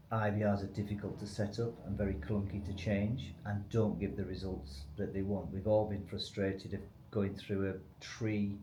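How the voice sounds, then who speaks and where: Male company expert, conversational explainer in a business interview, lowish register, reverberant room with faint noise behind. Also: dark tone.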